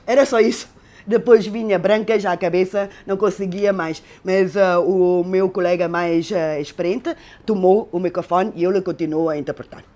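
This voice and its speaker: A woman's voice: fairly low pitch, fairly even intonation, moderate pace, dark tone. A middle-aged woman from Macau, an interpreter telling her story in an interview, hesitant with many fillers. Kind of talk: interview recollection.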